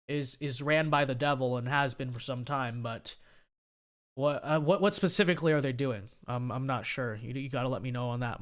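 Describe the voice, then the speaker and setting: Young guy streamer, kinda high voice, scratchy sore throat, rambly and opinionated, reads super chats. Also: fairly bright tone, smooth. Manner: opinionated, repeats himself, many restarts. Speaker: livestream host. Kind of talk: casual livestream commentary and super chat reading.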